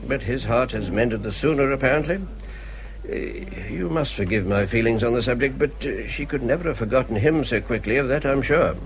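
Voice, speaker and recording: An actor playing a kindly, bluff English naval captain in a period radio drama, sincere and a little hesitant. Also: male voice, medium pitch, a little fast, crowd noise, lightly acted, rough recording. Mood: wistful.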